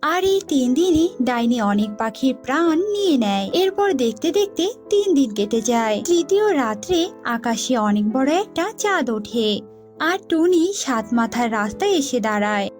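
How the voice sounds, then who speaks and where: High-voiced woman narrating a cartoon story. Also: sing-song, normal speed, a little mellow, faint music behind.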